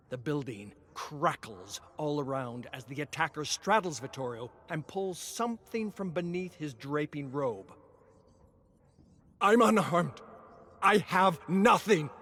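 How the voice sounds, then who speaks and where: A man narrating a scripted tale in a dramatic, brooding, theatrical way, often dropping into hushed tones. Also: medium pitch.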